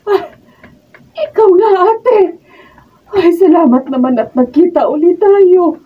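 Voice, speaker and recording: Slow-spoken, kindly mother. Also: extremely high pitch, lively intonation, distant mic, dull audio. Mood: warmly surprised. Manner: gentle, exclamatory, sympathetic. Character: kind, devout, forgiving mother and shopkeeper. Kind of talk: Filipino radio drama acting. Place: radio drama recording session.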